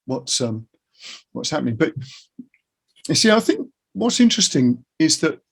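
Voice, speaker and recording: A middle-aged man chats in a casual interview with a husky voice and plenty of gravelly creak. He is reflective and earnest, setting out his opinions as he goes. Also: fairly high pitch, sing-song, moderate pace.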